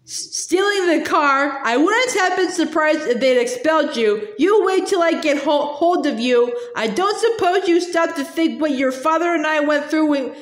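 Brief: a woman putting on an enraged storybook mother, high and shouting, in an echoey room. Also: lively intonation, moderate pace, few pauses. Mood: furious scolding. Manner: scolding, shouting. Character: furious mother yelling through a magic letter. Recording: roomy echo.

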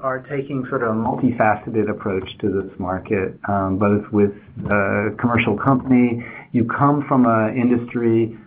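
A male moderator speaks at a middle pitch and introduces the next panelist in loose, informal phrasing. His voice comes over a thin phone line with faint noise beneath it. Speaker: webinar moderator.

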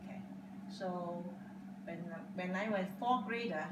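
Older Cantonese-speaking woman, distant and echoey. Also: on the low side. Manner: slow, halting non-native English with simple grammar. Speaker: interviewee. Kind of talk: casual interview answers. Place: dining room at home, indoors. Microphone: far camera across the room. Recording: echoey room, distant mic, loud background hiss.

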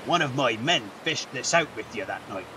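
An actor playing a genial, folksy ship captain in a fantasy game dub. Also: male voice, medium pitch, animated, moderate pace, distant mic, noisy background, natural voice. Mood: helpful, concerned. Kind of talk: video game character dub.

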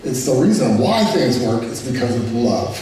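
A man in his thirties gives a heartfelt testimony in a plain, conversational, deeply earnest way. His mid-range voice sounds far from the microphone and rings in a very echoey room. Music plays underneath. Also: normal speed. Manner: plainspoken, earnest, emotional, quotes others in lively voices. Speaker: father giving a testimony. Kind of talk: personal testimony storytelling. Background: soft music.

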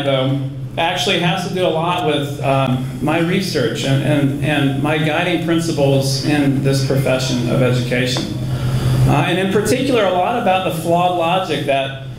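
A man in his forties speaks at a podium in a school hall, with a slightly raised pitch, earnest and self-deprecating in tone. The handheld mic picks up plenty of room echo, and steady background noise sits under his voice. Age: 40s. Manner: earnest, self-deprecating, chuckles now and then. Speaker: alumni award recipient, education researcher. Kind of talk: award acceptance speech. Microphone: handheld microphone.

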